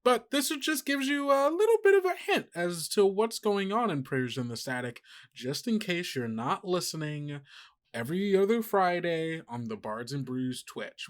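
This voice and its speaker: A man with a mid-pitched voice hosts a podcast. He talks informally and ramblingly, chatting his way through announcements as if to regular listeners. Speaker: podcast host.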